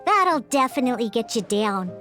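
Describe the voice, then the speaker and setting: A woman's voice: on the high side, very animated, moderate pace, bright, forceful tone, soft background music. A woman voicing a feisty, proud little cat-girl bartender in a video game, blunt but grudgingly encouraging. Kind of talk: video game character dialogue.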